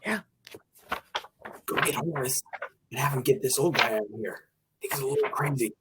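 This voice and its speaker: A man's voice: medium pitch, moderate pace, very noisy, natural voice. A man playing a wry, bossy radio show colleague in an old-time radio drama, with a dry, casual, take-charge manner. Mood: mildly impatient.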